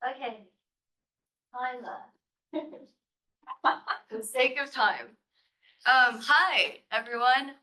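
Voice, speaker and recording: A woman's voice: high-pitched, slow, long pauses, pushed and bright. A young woman from Thailand giving a live science-writing presentation, nervous and giggly, with a playful manner. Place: lecture room.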